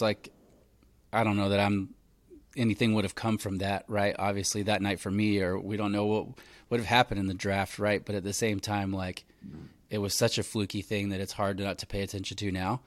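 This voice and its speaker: A man's voice: fairly low pitch, level tone, a little fast, no echo. A man hosting a podcast, chatting casually and telling stories with lots of fillers. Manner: loose storytelling with many fillers. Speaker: podcast host. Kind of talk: casual conversational interview.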